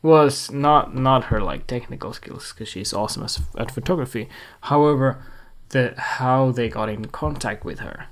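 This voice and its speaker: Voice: medium pitch, moderate pace. A young adult photographer from Sweden, talking casually to camera in a rambling, chatty way full of fillers and restarts. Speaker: freelance photographer. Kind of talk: casual vlog talk to camera.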